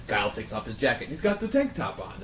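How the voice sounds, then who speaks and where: Animated man chatting in a roomy space. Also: mid-range voice, fast, distant mic. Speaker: podcast panelist. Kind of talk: animated casual chat about films. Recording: roomy, off-mic, faint background noise.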